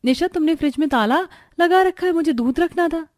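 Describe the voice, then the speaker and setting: A woman voicing a gentle, sentimental, forgiving daughter-in-law in a cartoon moral story. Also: very high-pitched, fairly quick, dry recording, clean studio sound, voice pushed up. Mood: puzzled.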